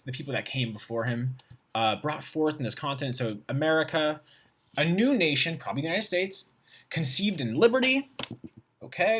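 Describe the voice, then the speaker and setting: A man's voice: medium pitch, a bit bright. A man teaching by example, reading a text aloud and stopping to puzzle over it informally in his own words. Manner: informal, pausing to puzzle over and paraphrase what he reads. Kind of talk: read-aloud demonstration, thinking out loud.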